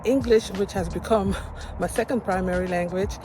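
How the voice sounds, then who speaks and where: A woman's voice: medium pitch, moderate pace, background noise. A woman from Suriname whose first language is Dutch, chatting casually and expressively in a personal vlog. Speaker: life coach presenting a personal vlog. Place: outdoors by a field.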